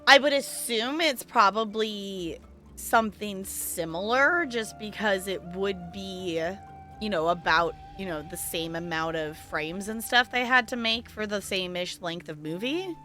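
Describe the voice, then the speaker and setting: A woman game streamer chatting casually and in an animated way with her livestream audience as she plays. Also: medium pitch, measured pace. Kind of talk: casual livestream chat and reading game dialogue. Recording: music behind the voice.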